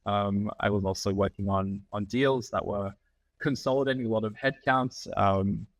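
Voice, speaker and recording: Low-voiced Australian man, dry close sound. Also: no room sound. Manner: measured, many "um" fillers, explanatory. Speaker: podcast guest, founding partner. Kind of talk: conversational interview.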